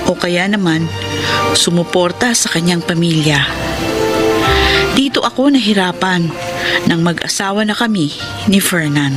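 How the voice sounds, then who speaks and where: An actress playing a weary, exasperated young wife in a radio drama, emphatic, with a Filipino accent. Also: female voice, on the low side, unhurried, pauses often, lightly acted. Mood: weary, reflective. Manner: complaining, emphatic. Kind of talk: Tagalog radio drama acting and narration. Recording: music behind the voice.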